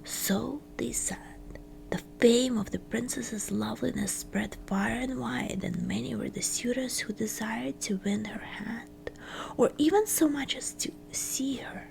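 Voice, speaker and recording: A woman reading a fairytale as ASMR storytelling, in a breathy, crackly whisper with hushed phrasing and gentle pauses. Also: fairly high pitch.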